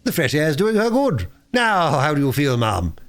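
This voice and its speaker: A man voicing a kindly, hearty gentleman motorist who talks politely and encouragingly. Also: a little high, wildly swooping pitch, normal speed, pitched above the performer's own voice.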